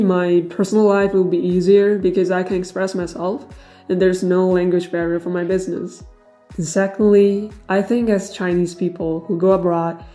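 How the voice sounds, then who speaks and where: A woman dubbing the voice of a male immigrant client in an interview, speaking calmly with frequent "uh" fillers. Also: fairly low pitch, natural voice. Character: middle-aged male immigrant client, calm businessman. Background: faint background music.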